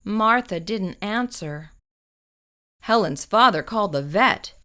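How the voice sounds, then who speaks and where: A woman reading a children's picture book aloud, slow and expressive. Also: medium pitch. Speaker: children's storyteller.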